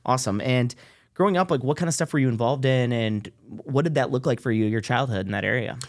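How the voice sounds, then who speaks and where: A male interview host talks quickly and brightly, pitch in the middle of the range. He is upbeat and enthusiastic, and he keeps the conversation moving with eager questions. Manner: upbeat, enthusiastic, full of praise. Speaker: podcast host. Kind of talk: casual interview hosting.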